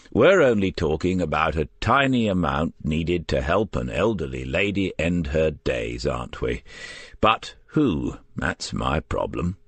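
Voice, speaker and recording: Man acting a very deep, shabby police detective. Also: a bit bright, slightly rough, no echo, full character voice. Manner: frank, coaxing, questioning. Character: shabby, probing male police detective sergeant, blunt and canny.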